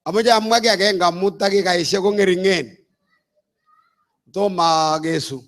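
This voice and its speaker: An older man from Uganda, an apostle, preaching a sermon in slow, deliberate phrases. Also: sits high, fairly bright tone.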